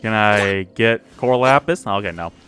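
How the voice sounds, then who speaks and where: A man's voice: lowish voice, moderate pace, fairly bright tone, soft background music. A man giving casual, offhand commentary while playing a video game. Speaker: gamer commenting on gameplay.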